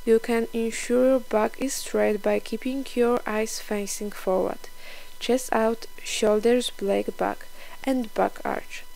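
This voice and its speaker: Young woman w doing a fitness voiceover, kinda slow n careful, bit of vocal fry, sounds scripted. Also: a little high, steady tone, darkish tone, rough recording. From Poland. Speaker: fitness vlogger. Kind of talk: scripted instructional voice-over.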